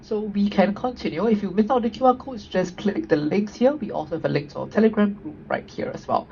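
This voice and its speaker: A woman's voice: medium pitch, a little fast, creaky, dark tone. A woman hosting an online webinar, presenting slides upbeat and often adding "right?" to her points. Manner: upbeat, frequent tag questions. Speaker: webinar host. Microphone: video call. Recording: dull lo-fi audio with faint noise.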